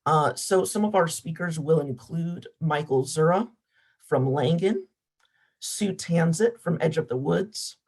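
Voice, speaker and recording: A hoarse woman organizer announcing upcoming webinar speakers, polite and a little apologetic. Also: deep voice, a little slow, darkish tone. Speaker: event organizer.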